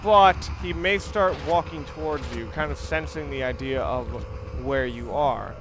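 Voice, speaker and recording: A man giving casual, explanatory let's play commentary, halting and rephrasing as he plays. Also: fairly high pitch, noisy background. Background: video game audio and music.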